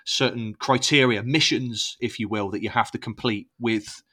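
Bright, forceful-voiced man chatting. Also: medium pitch, steady tone, moderate pace. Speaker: podcast co-host. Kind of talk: casual chat about video games.

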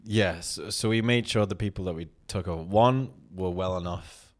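A man's voice: deep voice, moderate pace. A man of thirty, co-hosting a podcast in loose, rambling chat, often restarting sentences and laughing. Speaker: podcast co-host. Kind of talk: casual chat. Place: London.

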